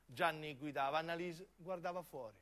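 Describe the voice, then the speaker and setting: A man's voice: a little high, moderate pace, a bit bright. A man with a southern Italian accent, an actor narrating in the style of a theatrical monologue.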